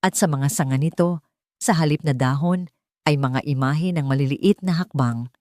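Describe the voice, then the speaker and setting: A woman's voice: sits low, normal speed, a little mellow, no echo, studio quality. A woman with a Filipino accent reading a scripted dramatic story as an audiobook narrator.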